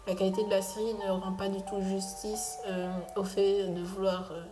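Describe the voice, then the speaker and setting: A woman's voice: medium pitch, level tone, moderate pace. A young woman giving a casual spoken review, hesitant and full of filler sounds and restarts. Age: young adult. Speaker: video essayist reviewing a cartoon. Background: music playing.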